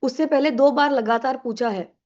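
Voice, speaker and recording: A woman's voice: high-pitched, moderate pace, darkish tone, muffled recording. A young woman teaching an online exam-prep English class with a sore throat, chatty and coaxing, mixing English into her Hindi.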